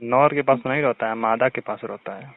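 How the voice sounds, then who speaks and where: A man's voice: medium pitch, fairly quick, dry recording. Young Bhojpuri-accented man on a phone line. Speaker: student. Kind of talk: casual phone chat, telling anecdotes. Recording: narrow, tinny phone call recording.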